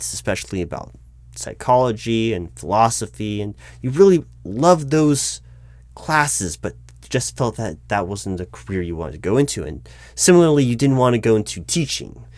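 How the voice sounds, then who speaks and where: A man playing a patient, probing hiring manager in a one-sided interview roleplay, speaking aloud in a halting, improvised way and repeating words. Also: lowish voice, lively intonation, no room sound, natural voice. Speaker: job interviewer. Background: computer keyboard typing.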